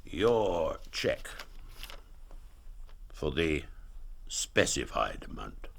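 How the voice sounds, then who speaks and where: A man's voice: a bass voice, big pitch swings, drawn-out words, creaky, a bit bright, a little hiss or hum, heavily acted. A man playing a proud, weary older Duke in a radio drama, with an upper-class English accent, stately and formal. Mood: wary, subdued.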